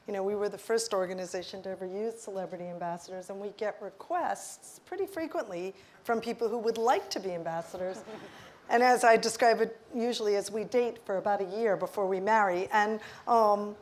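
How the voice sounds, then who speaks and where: A woman's voice: medium pitch, brisk, a little mellow, slight background noise. A woman on a stage panel speaking with enthusiastic, persuasive conviction. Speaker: panel guest.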